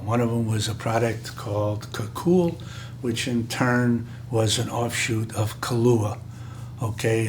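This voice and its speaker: Older guy, kinda hoarse, rambly old story, lots of uh's, faint hum behind. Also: mid-range voice. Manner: rambling, frequent filler pauses. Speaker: interviewee. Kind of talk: oral history recollection.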